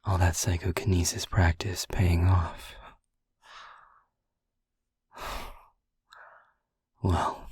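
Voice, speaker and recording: A man playing a cool, confident, protective demon in an audio roleplay, talking in a wry, weary, unhurried way. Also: sits low, breathy edge, no echo, clean studio sound, heavily acted. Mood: wry, grateful.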